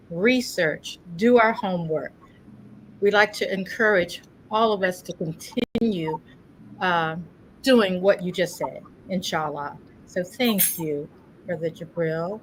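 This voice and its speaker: A woman's voice: mid-range voice, rough recording. A woman hosting a community religious program, speaking informally in an encouraging, courteous way. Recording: steady background noise.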